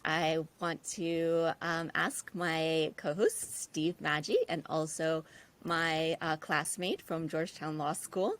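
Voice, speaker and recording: A woman, an estate planning attorney hosting a podcast, speaking with hesitations and frequent fillers. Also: on the low side. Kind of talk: podcast conversation.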